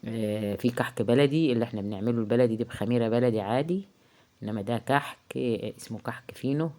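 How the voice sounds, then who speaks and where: A woman with an Egyptian accent, hoarse from a heavy cold, chatting casually through a cooking tutorial in her home kitchen, halting often with drawn-out filler sounds. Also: extremely low pitch, dark tone. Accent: Egyptian Arabic. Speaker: home cook vlogger. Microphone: phone.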